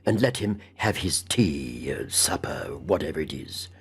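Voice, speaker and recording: A man voicing an eager, absent-minded elderly gentleman who stammers, repeats himself and chuckles in a storybook reading. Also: very deep voice, animated, a little slow, breathy edge, pitched below the performer's own voice, full character voice. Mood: distracted. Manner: stammering, repetitive, hemming and chuckling.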